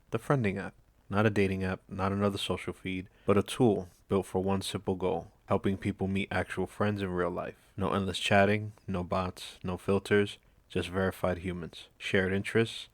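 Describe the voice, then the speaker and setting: A man hosting a podcast, giving a measured, scripted introduction and then asking questions. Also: low-pitched, no echo. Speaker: podcast host.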